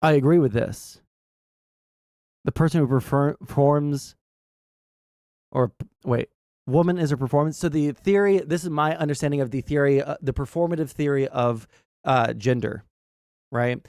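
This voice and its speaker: A man's voice: a little high, darkish tone, no echo, studio quality. A man hosting a casual gaming livestream, rambling through his points in a blunt, sweary way and often checking in with "right?". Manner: rambling, halting explanations peppered with "right?". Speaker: livestream host.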